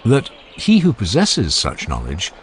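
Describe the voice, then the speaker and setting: A man reads a dramatized classical dialogue in a deep voice with very animated intonation. His delivery is formal, measured and emphatic. Faint ambient noise sits behind him. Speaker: audiobook narrator. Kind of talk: dramatized scripted reading of a classical philosophical dialogue.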